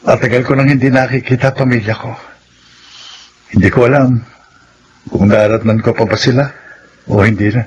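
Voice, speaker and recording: A man's voice: mid-range voice, fairly even intonation, normal speed, long pauses, highs cut off, a little hiss or hum, lightly acted. An actor playing a remorseful ex-convict who wants to change his life, speaking earnestly in a radio drama. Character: remorseful ex-convict son seeking a new life. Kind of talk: classic radio drama acting.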